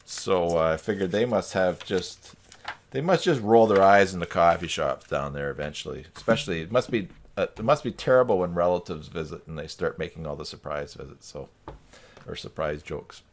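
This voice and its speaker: Man, low voice, live stream host, casual banter, video call chat, joking manner. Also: normal speed, slight background noise.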